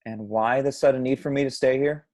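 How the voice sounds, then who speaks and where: Guy doing a frustrated young man with a grudge, amateur script read, mid pitched. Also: fairly even intonation, fairly quick, darkish tone, lightly acted. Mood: guarded. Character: bitter, frustrated young man, grieving estranged son. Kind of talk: amateur at-home table read of a film script.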